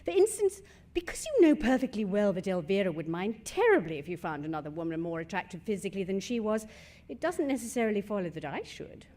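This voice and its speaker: An actress playing a poised, sharp-tongued middle-aged wife in a stage comedy, with a crisp, ironic British delivery. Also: female voice, medium pitch, sing-song, fast talker, close to an everyday voice. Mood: arch, teasing. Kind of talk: stage comedy radio acting.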